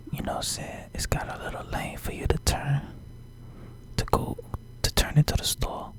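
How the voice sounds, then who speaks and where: An American man tells a story in a breathy ASMR whisper. His delivery is slangy and rambling, doubling back on phrases and checking in often. The pitch moves around a great deal, very animated for such hushed speech. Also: poor audio.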